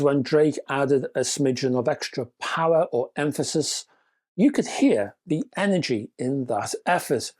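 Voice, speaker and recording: Guy doing a scripted gear review, medium pitch, measured delivery but chatty and a bit whimsical. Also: a little mellow, husky. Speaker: reviewer and presenter of an audio gear channel. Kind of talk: scripted product review narration to viewers.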